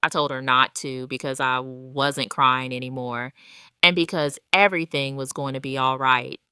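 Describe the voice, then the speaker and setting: A woman narrating a scripted first-person dramatic story in a measured, deliberate way. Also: rumbling low, fairly even intonation, sharp tone, clear, resonant tone, dry recording, clean studio sound.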